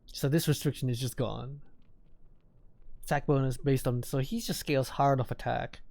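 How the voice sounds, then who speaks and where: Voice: medium pitch, fairly even intonation, normal speed, creaky, a little mellow. A game streamer giving casual live commentary, muttering and repeating phrases to themselves while playing. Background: game music.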